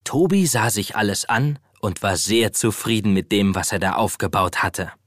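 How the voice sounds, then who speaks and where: A man's voice: on the low side, normal speed, a bit bright, no room sound, studio quality. A man narrating a scripted children's story as the storyteller of a radio play. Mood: storytelling. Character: storyteller of a children's toy adventure.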